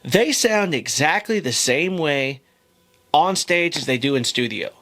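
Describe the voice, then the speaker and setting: A man's voice: fairly high pitch, expressive, unhurried, fairly bright tone. A man hosting a casual podcast about music. He rambles, restarts his sentences and swears casually. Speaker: podcast host and band member.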